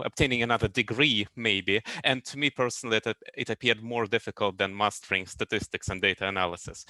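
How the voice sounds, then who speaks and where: A man's voice: on the low side, bright, forceful tone, clean tone, no echo. A man who works as a consultant, speaking on a webinar video call in a hesitant way, with stammered restarts. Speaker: business consultant. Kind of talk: webinar talk.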